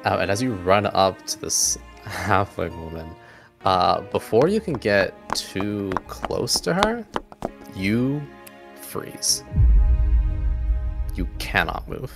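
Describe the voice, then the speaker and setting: A man with a very low, gravelly voice runs a tabletop game. He improvises the narration conversationally and haltingly, with faint music underneath. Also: expressive. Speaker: game master.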